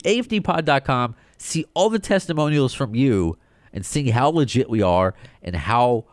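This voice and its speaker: A man from the United States hosting a solo podcast, talking in an excited, rambling way with many restarts. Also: medium pitch, no room sound, studio quality. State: short on sleep. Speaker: podcast host.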